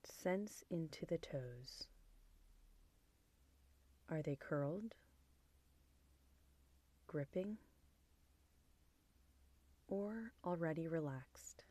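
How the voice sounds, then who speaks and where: Female meditation guide: mellow, unhurried, soothing delivery, soft near-whispered endings. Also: deep voice, animated, dry recording. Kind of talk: guided body scan meditation.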